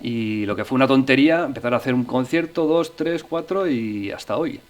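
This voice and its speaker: A middle-aged man from northern Spain, a musician talking about his career as a guest. His voice sits at an ordinary pitch, and he tells his stories in a loose, hesitant way, often stopping to restart his sentences. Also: a little fast. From Navarre, Spain. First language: Spanish. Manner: hesitant, with frequent restarts and fillers. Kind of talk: relaxed interview storytelling.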